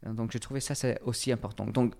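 A man's voice: mid-range voice, fairly even intonation, fairly quick, creaky, a little mellow. A man with an English accent, a fitness coach interviewed in French, speaking hesitantly with many fillers and restarts. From England. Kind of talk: casual interview, halting French.

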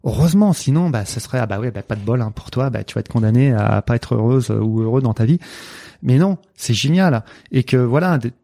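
A man with a Marseille accent speaks with a gravelly, mellow voice, dry and close. He is a sports coach in a relaxed interview, hesitating and restarting often, dropping in jokes. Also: mid-range voice, a little fast, no echo. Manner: hesitant, many fillers and restarts, jokey asides. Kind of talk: casual interview conversation.

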